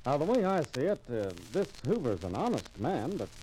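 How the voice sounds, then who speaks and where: A man's voice: a little high, sing-song, brisk, warm tone, rough recording. An actor playing a mild-mannered, undecided voter who fumbles hesitantly over his words, in 1940s radio style. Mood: unsure. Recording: old radio recording with noise.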